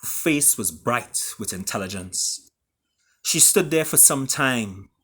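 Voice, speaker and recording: A man with a Caribbean accent reading his own story aloud in a theatrical way, with deliberate pauses. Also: medium pitch, animated, sharp tone, husky. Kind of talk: dramatic storytelling.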